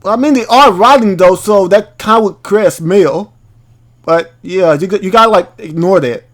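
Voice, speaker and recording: A man's voice: high-pitched, normal speed, fairly bright tone. A man hosting a game playthrough, commenting casually and reading text aloud, informal and prone to stumbling over words. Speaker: gaming video host.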